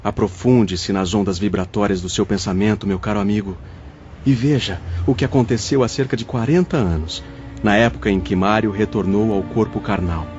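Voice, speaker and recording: A man's voice: fairly low pitch, normal speed, darkish tone, natural voice. A man playing a wise spirit mentor in an audio drama, solemn, formal and didactic. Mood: guiding. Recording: dull lo-fi audio, faint music.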